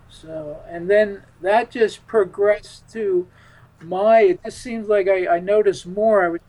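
An American man in his seventies with a fairly high-pitched voice. He talks as an interview guest, reminiscing in a loose, halting ramble. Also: darkish tone, low-quality recording. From Philadelphia, United States. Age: 70s. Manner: rambling, halting, chuckles while talking. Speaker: interview guest, UFO witness.

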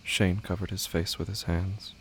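A man's voice: deep voice, steady tone, normal speed, slight background noise. A man narrating a horror audio drama with grave, tense, scripted delivery. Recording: loud music under the voice.

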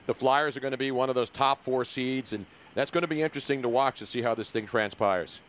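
A man's voice: medium pitch, fairly quick, slight background noise. A middle-aged or older American man hosting a casual sports podcast in a brash, slangy, bantering way. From Philadelphia, USA. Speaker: podcast host.

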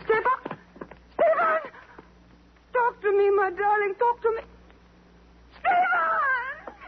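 Tinny old-radio anxious young wife. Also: female voice, very high-pitched, expressive, slow, full character voice. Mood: frantic. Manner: formal, imploring, theatrical. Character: anxious, pleading young wife of a poor engraver. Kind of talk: 1950s radio drama acting. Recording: tinny, faintly noisy old radio recording.

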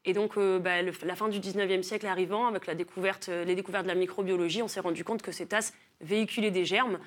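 A woman's voice: medium pitch, fairly even intonation, fast talker. A woman from Marseille, a philosopher and author, explaining her ideas as a talk show guest with many hesitations. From Marseille, France. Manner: thoughtful, many hesitations with euh. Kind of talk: studio interview.

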